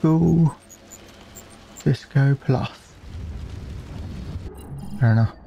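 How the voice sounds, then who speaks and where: A man's voice: medium pitch, very slow, gravelly, mellow, lo-fi sound. A man playing a game and musing aloud in a wry, casual way. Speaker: let's play gamer.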